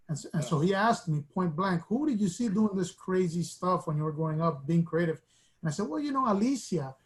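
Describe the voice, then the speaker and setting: Man from Miami, interview guest, fairly high voice, reflective storyteller who restarts his sentences. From Miami, United States. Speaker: guest artist.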